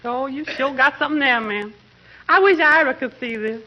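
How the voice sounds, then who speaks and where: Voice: sits high, moderate pace, muffled recording. An actor playing a deferential, playful maid with folksy, ungrammatical speech in a vintage radio comedy. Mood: playful, wistful. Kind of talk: 1940s radio comedy acting. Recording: noisy, band-limited vintage radio broadcast.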